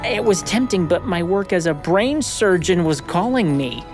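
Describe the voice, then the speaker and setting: Brief: self-important kid detective female actor, middle-school age, lower register, earnest and bossy, formal delivery, audio drama with prominent music. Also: animated, moderate pace, lightly acted. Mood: grand, reading aloud. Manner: formal, precise wording, pompous.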